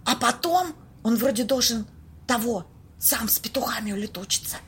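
A woman acting a bossy, streetwise young woman in an amateur audio play, husky, slangy and blunt. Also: a little high, lively intonation, slight background noise. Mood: hushed, nervous. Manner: slangy, blunt, drawn-out exclamations.

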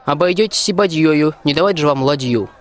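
A man's voice: a little high, brisk, close to an everyday voice. A man in a radio play acting a bold, plain-spoken peasant soldier who scolds in folksy rhymed verse. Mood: judging. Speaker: school student actor.

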